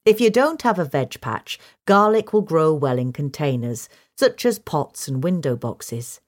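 Low-pitched British woman, expressive narration. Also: unhurried. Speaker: narrator reading a magazine article. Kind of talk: scripted instructional narration.

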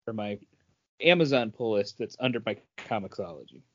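A man's voice: on the low side, lively intonation, brisk, a bit bright, lo-fi sound. A man co-hosting a podcast in a casual chat about comic books. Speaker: podcast co-host.

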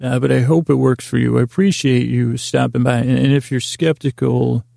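A man's voice: mid-range voice, steady tone, moderate pace, vocal fry, warm tone, no room sound, studio quality. A man hosting a podcast in a meandering, goofy bedtime monologue, with tangled sentences that double back on themselves. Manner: silly, goofy wordplay. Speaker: podcast host. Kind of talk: rambling bedtime monologue to help listeners fall asleep.

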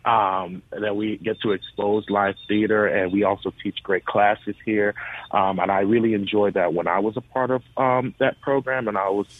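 Male interview guest from the Virgin Islands, low register, earnest, thin telephone-band sound. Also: steady tone, normal speed, no room sound. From US Virgin Islands (Saint Thomas). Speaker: theater education director, interview guest. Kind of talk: interview answers about theater work. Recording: narrow phone-like radio line.

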